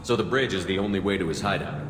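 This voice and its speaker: Casting: a man as a level, low-voiced commander asking questions, echoey mix. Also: quick pace, background noise, lightly acted. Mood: questioning. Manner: calm, questioning. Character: male soldier commander, calm, probing. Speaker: freelancer commander. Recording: echoey game mix with music.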